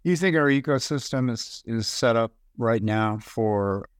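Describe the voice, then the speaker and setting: A man hosting a podcast, asking a measured, drawn-out question. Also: medium pitch, clean tone, no room sound, studio quality. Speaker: podcast host. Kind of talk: interview questions.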